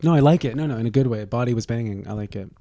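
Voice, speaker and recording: A man's voice: mid-range voice, very fast, dark tone, no echo, studio quality. A man co-hosting a podcast, bantering casually with an irreverent, gossipy, joking manner. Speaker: podcast co-host.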